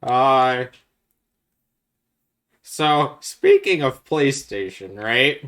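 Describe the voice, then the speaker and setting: Male podcast host, medium pitch, informal and explanatory, for casual video game chat. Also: animated, extremely slow, a bit bright. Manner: explanatory, makes points step by step.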